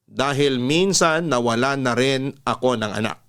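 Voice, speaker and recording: A man's voice: medium pitch, unhurried, a bit bright, smooth. Male storyteller in classic radio style. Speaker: radio drama narrator reading listeners' stories.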